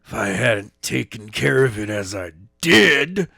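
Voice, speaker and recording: A man's voice: mid-range voice, big pitch swings, measured pace, creaky, sharp tone, no echo, clean studio sound, soft background music, heavily acted. A man reading as a harsh bitter official. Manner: harsh, clipped.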